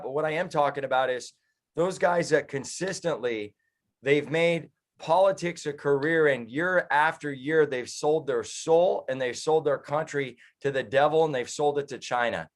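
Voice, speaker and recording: A man's voice: fairly high pitch, moderate pace. A man with an American accent giving conversational political commentary as an interview guest, in an earnest, speechifying manner. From United States. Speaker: political activist and professional speaker, interview guest.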